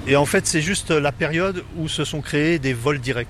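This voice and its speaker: Middle-aged Parisian man, interviewee, hesitant and relaxed, faint traffic behind. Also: a little high, normal speed. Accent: Parisian French. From Paris, France. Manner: hesitant, many fillers and restarts.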